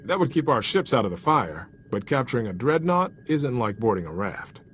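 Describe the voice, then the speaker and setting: A practical military man with a medium-pitched voice. He talks briskly like a field officer in a video game, with faint ambience behind him. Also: big pitch swings, fairly bright tone, a little hiss or hum. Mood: planning. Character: brisk, practical male soldier. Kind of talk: video game cutscene acting.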